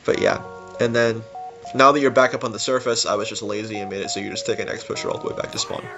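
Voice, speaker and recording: A man's voice: lowish voice, little pitch movement, fast. A man giving informal, rambling, unscripted commentary on a game level he built. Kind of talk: casual unscripted gameplay commentary. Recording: music behind the voice. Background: game music.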